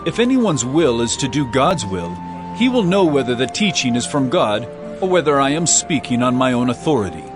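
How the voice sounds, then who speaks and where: A man's voice: mid-range voice, big pitch swings, moderate pace, close to an everyday voice. An actor playing an impassioned male religious teacher, declaiming earnestly and with authority in a dramatized audio Bible. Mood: challenging. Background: music.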